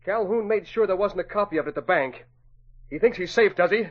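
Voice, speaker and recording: An actor playing an agitated, indignant stockbroker in emphatic 1940s radio style. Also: male voice, high voice, brisk. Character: indignant male stockbroker, betrayed and vengeful. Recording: muffled vintage radio recording.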